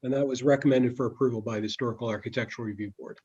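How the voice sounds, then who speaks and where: Muffled lo-fi audio, man, husky mellow voice, council clerk, formal reading. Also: mid-range voice, fairly even intonation, racing. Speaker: clerk taking roll call and reading legislation. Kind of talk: formal reading and roll call. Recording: dull, muffled lo-fi meeting audio.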